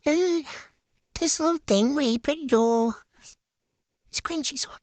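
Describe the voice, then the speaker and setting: A woman's voice: high voice, slow, darkish tone, no echo. A woman giving a casual, chatty show-and-tell voice-over in a hesitant, unscripted way that tends to trail off. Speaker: vlogger.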